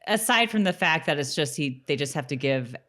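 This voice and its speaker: A woman's voice: sits low, animated, brisk, sharp tone. A woman co-hosting a podcast, chatting casually as she recaps a TV episode. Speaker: podcast co-host.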